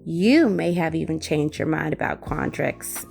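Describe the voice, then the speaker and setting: A woman playing a teasing, chatty young mage student in an audio story, with a creaky voice. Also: sits low, measured pace, darkish tone, soft background music. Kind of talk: audio drama dialogue.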